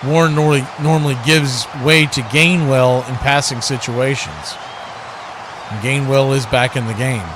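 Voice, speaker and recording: A man's voice: mid-range voice, steady tone, moderate pace, noisy background. A man calling live football play-by-play as a sportscaster.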